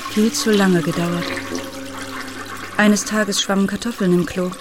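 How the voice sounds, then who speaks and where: A woman's voice: mid-range voice, fairly even intonation, a little slow, noisy background, lightly acted. An actress playing a worried middle-aged mother in a German radio drama, plain-spoken and brooding. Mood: troubled, reflective. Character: worried, questioning mother of an anorexic teenage girl. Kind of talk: radio drama dialogue and narration.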